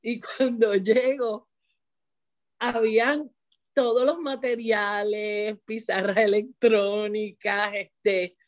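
A woman's voice: medium pitch, steady tone, slow. Middle-aged Puerto Rican woman, teacher guest, loose talk full of restarts and asides. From Puerto Rico. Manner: restarts often, frequent "¿verdad?" tag. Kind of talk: conversational interview.

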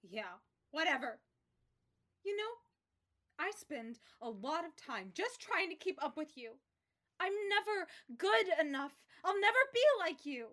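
A woman's voice: very high-pitched, sing-song, a little slow, pushed and bright, heavily acted. An actress playing an anxious, resentful middle-school girl in an audio play, with an emotional, exclamatory delivery. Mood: bitter, hurt.

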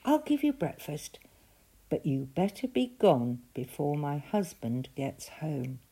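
A woman voicing a kind giantess, the wife of a giant, who talks in a hurried, protective way. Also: low voice, sing-song, dark tone, breathy edge, full character voice, low-quality recording. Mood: kindly.

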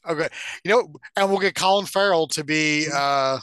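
Bright-voiced man bantering casually, bluntly. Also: on the high side, normal speed, clean tone, no echo. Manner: opinionated, blunt. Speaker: podcast co-host. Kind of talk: casual, profane pop-culture banter.

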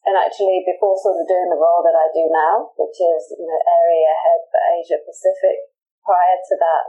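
A woman from the UK with a British accent, an executive introducing herself in an interview in a measured, earnest way. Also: fairly low pitch, phone-quality sound. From United Kingdom. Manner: measured, earnest, with frequent restarts. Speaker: pharmaceutical executive, interview guest. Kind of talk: interview, professional self-introduction.

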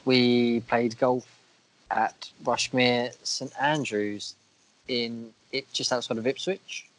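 A man with a croaky voice from a cold, co-hosting a podcast in casual, self-deprecating chat. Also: mid-range voice, steady tone, slow pace, fairly bright tone, poor audio. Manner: chatty, self-deprecating, a bit crude. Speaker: podcast co-host.

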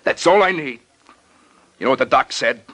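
An actor playing a slow-witted, aggrieved prizefighter in an old radio crime drama, blunt and slangy. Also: male voice, medium pitch, expressive, a bit bright. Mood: bitter, threatening.

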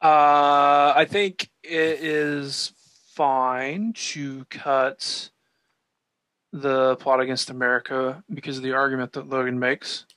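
A man's voice: mid-range voice, steady tone, takes it slowly, fairly bright tone, no room sound. A man hosting a casual podcast chat, teasing and joking with his co-hosts. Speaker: podcast host.

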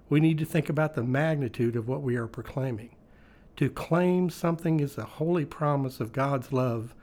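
Male, slightly high voice, scripted devotional reading, reflective, rhetorical questions. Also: a little mellow. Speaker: devotional reader.

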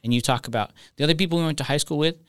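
A pastor from Reno chatting casually, laid-back, laughing often and calling people dude. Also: male voice, fairly high pitch, rapid-fire, a bit bright, smooth, no echo, studio quality. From Reno, Nevada. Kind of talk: casual chat.

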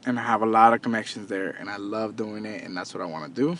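A man's voice: lowish voice, fairly even intonation, fast talker, a bit bright. A man of thirty talking earnestly and casually to his listeners, repeating himself and restarting often as he goes. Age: 30s. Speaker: podcast host and entrepreneur. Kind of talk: casual motivational monologue.

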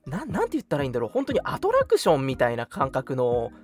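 A young man chatting casually as a radio host, reacting readily to his co-host. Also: mid-range voice. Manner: animated, reactive, quick repeated backchannels. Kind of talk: casual chat. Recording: music playing behind the voice. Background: music.

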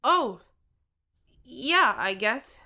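Earnest, bright-voiced young teen. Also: female voice, a little high, sing-song, very slow, heavily acted. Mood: nervous. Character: earnest, determined 13-year-old game show contestant. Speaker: narrator telling own story. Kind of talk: scripted first-person audio drama narration.

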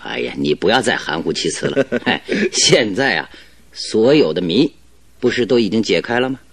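An actor playing a confident, cheerful detective in a radio drama, explaining things in an animated, self-assured, didactic way. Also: male voice, lowish voice, normal speed, no echo. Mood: genial teasing. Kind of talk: Chinese radio drama acting.

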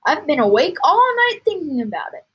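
A woman voicing an excitable, impatient father who exclaims and insists as she reads a children's story aloud. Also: high-pitched, moderate pace, voice pushed up. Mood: excited.

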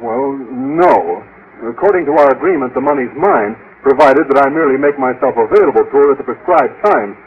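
An actor playing a bashful, naive schoolteacher with a formal, earnest manner in an American radio comedy from the 1950s. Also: male voice, medium pitch, lively intonation, fairly quick, husky. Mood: anxious. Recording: dull, faintly noisy old radio recording.

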